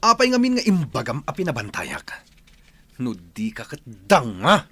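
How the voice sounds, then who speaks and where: Woman acting low-voiced irascible Filipino boss. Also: huge pitch swings, moderate pace, husky, dry recording, heavily acted. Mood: exasperated. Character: irascible, domineering male boss. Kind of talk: comic radio drama acting.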